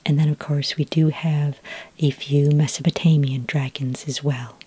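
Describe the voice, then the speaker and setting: A woman playing a patient, helpful fantasy dragon ranch expert in a whispered, breathy, hesitant ASMR roleplay. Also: deep voice, fairly even intonation, dark tone, dry recording. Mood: gentle, helpful. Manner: hesitant, unhurried explaining, frequent ums.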